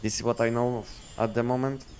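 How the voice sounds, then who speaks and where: A man chatting casually on a game livestream, explaining things in a halting, repetitive way. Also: mid-range voice, little pitch movement, a little mellow, faint music behind. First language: not English. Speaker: game live streamer.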